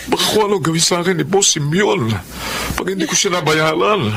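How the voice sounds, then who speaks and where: Casting: guilty, pleading father in radio drama, slightly high pitch, noisy recording. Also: a little fast, creaky. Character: pleading, guilty gambler father, deep in debt. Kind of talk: Filipino radio drama acting.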